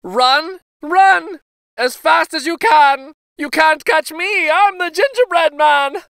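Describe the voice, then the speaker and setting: Brief: man putting on a high, boastful little gingerbread boy, sing-song taunts, bright and bouncy. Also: slow pace, gravelly, no room sound, studio quality, exaggerated voice. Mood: gleeful taunting. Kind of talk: storybook read-aloud.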